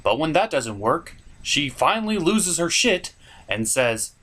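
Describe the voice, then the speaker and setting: A man's voice: on the high side, very animated, a little slow, bright, forceful tone. A man giving a scripted comedic review as host, with an exasperated, sweary, ranting delivery. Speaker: puppet-show reviewer and host.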